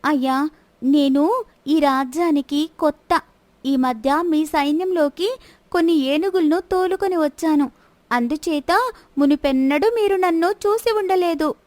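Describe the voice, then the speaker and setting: Bold young storybook princess, played by a female narrator: high voice, probing and teasing, dry sound. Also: a little slow, darkish tone, no echo. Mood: polite, probing. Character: bold young princess disguised as a palace servant.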